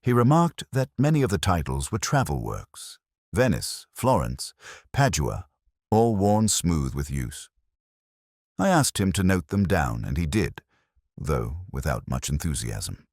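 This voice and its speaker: A man playing a very deep-voiced, brooding detective. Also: sing-song, darkish tone, dry recording, clean studio sound, heavily acted. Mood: brooding, reflective. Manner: measured, reflective, literary phrasing. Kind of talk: scripted first-person detective narration, audio drama.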